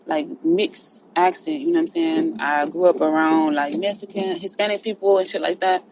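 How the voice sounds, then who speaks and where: A woman from Texas with a mixed Texas accent, talking in a relaxed, conversational way. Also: low-pitched, moderate pace, noisy background. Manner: relaxed, conversational, says "you see what I'm saying". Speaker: caller. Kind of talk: casual chat.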